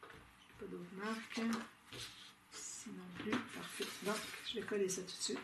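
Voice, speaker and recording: A woman's voice: mid-range voice, fairly even intonation, a little slow, vocal fry, recorded from a distance. A woman with a Québécois French accent hosting a casual scrapbooking tutorial, chatty and thinking aloud with frequent fillers. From Quebec, Canada. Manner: chatty, thinks aloud with many "euh" fillers and small laughs. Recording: roomy, off-mic, with loud background noise.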